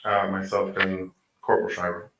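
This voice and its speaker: Flat, gravelly man testifying, echoey and distant. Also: low voice, normal speed, slight background noise. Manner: clipped, formal, hesitant answers. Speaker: police detective witness. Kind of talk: courtroom testimony. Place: courtroom. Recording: echoey distant courtroom playback.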